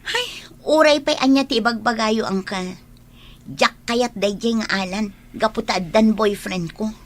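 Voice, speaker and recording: A woman playing a trusting, stubbornly loyal young woman in love in a radio drama, expressive, with an Ilocano accent. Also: on the high side, measured pace, natural voice. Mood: defensive. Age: young adult. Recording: noticeable background noise.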